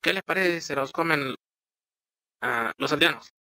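A man's voice: moderate pace, gravelly, pushed and bright, no room sound, heavily acted, rough recording. A man using a voice changer to play a timid, apologetic young underling. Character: nervous young male underling "Miguel". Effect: voice changer pitch shift.

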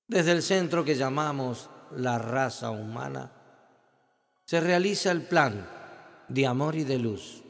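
Male meditation leader, solemn and measured invocation, long hall echo, music underneath. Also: mid-range voice. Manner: solemn, deliberate, instructing in short measured phrases.

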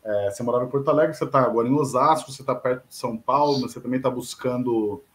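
A man's voice: medium pitch, fast talker. A middle-aged man hosting an interview over a video call from a room at home, asking long questions and restarting his words as he goes. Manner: hesitant, restarts mid-question. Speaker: interview host. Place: home room with bookshelves, indoors.

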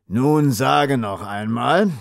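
A man voicing a rough, bearded prairie smuggler in an audiobook, blunt, taunting and threatening. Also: medium pitch, animated, a little slow, no room sound, clean studio sound. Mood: demanding. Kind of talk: audiobook character acting.

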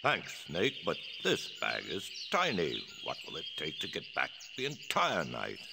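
Deep, creaky village chief. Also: male voice, huge pitch swings, unhurried, background noise, heavily acted. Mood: polite, reasoning. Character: calm adult male village chief and father. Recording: loud music behind the voice.

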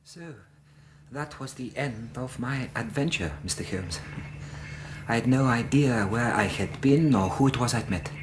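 A man's voice: mid-range voice, measured pace, slight background noise, close to an everyday voice. An actor playing a courteous, troubled Greek interpreter in a Victorian radio drama, telling his account politely and sincerely. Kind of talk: British radio drama acting.

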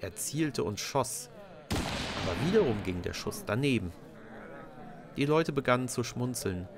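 A man's voice: mid-range voice, lively intonation, unhurried, background noise. A man narrating a scripted children's story as a storyteller.

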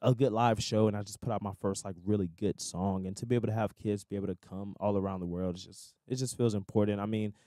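A soft-spoken man in his twenties, a musician guest talking earnestly in a casual interview, with lots of restarts and fillers. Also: fairly low pitch, steady tone, fast, few pauses, no echo. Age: 20s. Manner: earnest, rambling with many restarts and likes. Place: hotel-style living room, indoors. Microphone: boom mic on stand.

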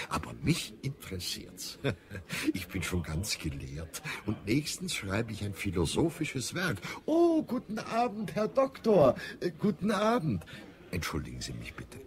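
Casting: eager, self-important innkeeper in audio drama, low voice, extremely lively intonation. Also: male voice, normal speed. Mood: flustered, obliging. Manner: talkative, boastful, eager to please. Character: chatty, self-important innkeeper, proud of his night-school learning. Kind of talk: German audio drama acting.

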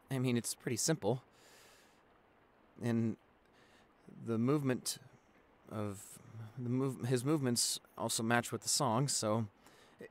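A man playing a shy, anxious young boyfriend in an ASMR roleplay, speaking softly with hesitant stammers. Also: medium pitch, natural voice. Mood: shy, musing. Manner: hesitant, stammering, soft-spoken. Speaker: audio roleplay performer.

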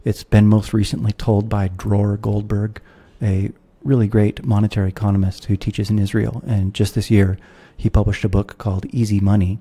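A man in his thirties with an American accent, a guest on a podcast interview who explains history in a measured, lecturing way over a video call. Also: fairly low pitch, level tone, dark tone, breathy edge. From United States. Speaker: guest philosopher. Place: room at home with guitars. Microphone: studio mic with headphones, video call.

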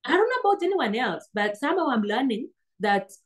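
A woman's voice: high voice, sing-song, a little fast. Earnest Kenyan woman on a video call. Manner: earnest, restarts words often. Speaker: guest, PhD graduate. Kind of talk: interview over video call. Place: indoor room, seated on sofa. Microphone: laptop webcam on video call.

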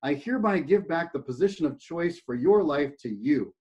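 A man doing an exasperated young man yelling. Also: fairly high pitch, lively intonation, normal speed, natural voice. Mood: resolute. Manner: strained, shouting, exasperated. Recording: dull lo-fi recording.

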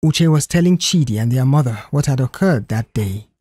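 A woman's voice: rumbling low, expressive, normal speed, warm tone, breathy edge, dry recording. A woman narrating a dramatized audio story, reading scripted prose.

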